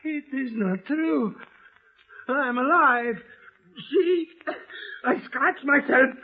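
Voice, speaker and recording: High, hysterical German Nazi colonel. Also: male voice, animated, exaggerated voice. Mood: raving hysteria. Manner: theatrical, drawn-out, hysterical. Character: arrogant Nazi colonel cracking into raving terror. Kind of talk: 1940s radio horror acting. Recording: noisy, tinny old radio broadcast.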